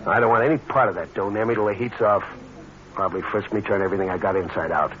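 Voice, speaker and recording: An actor with an American accent playing a smooth, cold-blooded schemer in a vintage radio crime drama, in terse hard-boiled slang. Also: male voice, fairly low pitch, steady tone, fast talker, fairly bright tone, natural voice. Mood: slick, persuasive. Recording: muffled, noisy old radio recording.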